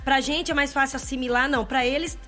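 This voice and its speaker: A woman hosting a casual talk show, chatty and quick to laugh, asking her guest many questions. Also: sits high, steady tone, pushed and bright, soft background music. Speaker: host. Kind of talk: casual chat.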